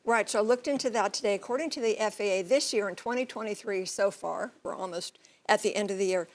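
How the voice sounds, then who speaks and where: A woman reporting as a TV news correspondent, explaining things in measured, clear phrases. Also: mid-range voice. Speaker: TV transportation correspondent and pilot.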